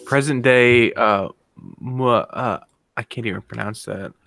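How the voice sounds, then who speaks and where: Creaky-voiced man, casual and tentative. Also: mid-range voice, a little slow, a bit bright, no echo. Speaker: stream participant. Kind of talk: casual chat.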